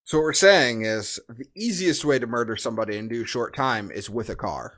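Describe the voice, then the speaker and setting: A man's voice: medium pitch, expressive, fairly quick, fairly bright tone. A man hosting a podcast, chatting casually as he reviews a movie. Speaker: podcast host.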